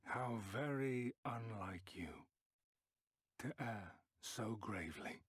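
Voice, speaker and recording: An actor playing an ancient, cold and condescending emissary in a fantasy game, speaking in measured, formal, archaic phrases. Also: male voice, medium pitch, breathy edge, no room sound. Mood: grave, reproachful. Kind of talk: dramatic fantasy video game acting. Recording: music behind the voice.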